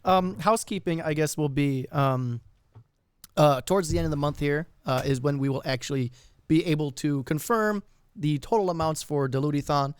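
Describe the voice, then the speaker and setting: A man co-hosting a podcast in casual chat, a bit raspy from illness, jokey and rambling with fillers and laughs. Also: a little high, moderate pace, no room sound. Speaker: podcast co-host.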